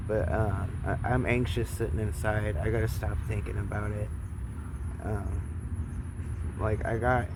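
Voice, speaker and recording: A man with a lowish, flat voice talks into his phone outdoors, halting and subdued and full of pauses. Loud traffic roars behind him. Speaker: vlogger. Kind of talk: personal video diary. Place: outdoors in the sun near traffic.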